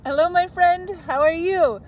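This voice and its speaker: A woman's voice: extremely high pitch, normal speed, rough recording. A woman greeting viewers cheerfully while floating in the sea outdoors. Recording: outdoor clip with background noise.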